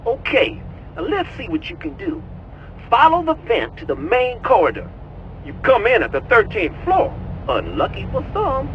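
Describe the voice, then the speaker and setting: A man's voice: very high-pitched, normal speed, highs cut off, slight background noise, heavily acted. An actor playing a cocky, slangy young American tech support guy in a video game cutscene. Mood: teasing. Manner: slangy, animated, excitable.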